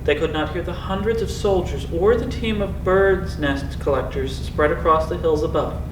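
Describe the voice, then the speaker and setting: Higher-voiced man narrating in an echoey room. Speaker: volunteer audiobook reader from a school community. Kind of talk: scripted narration, read aloud. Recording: echoey room, distant mic, background noise.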